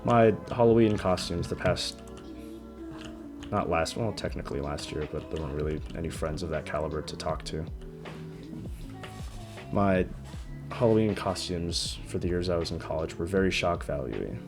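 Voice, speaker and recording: A young man in his twenties with a deep voice, rambling hesitantly about his life in a personal vlog, often stopping and restarting. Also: lively intonation. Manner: hesitant, halting, frequent restarts. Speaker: vlogger. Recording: music playing under the voice. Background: background music.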